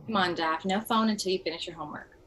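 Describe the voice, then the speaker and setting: A woman's voice: fairly low pitch, fast, a bit bright. A woman playing a wry, blunt mother in a comedy script table read. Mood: parental. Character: wry, blunt widowed mother of two, former standup comic. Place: remote video call.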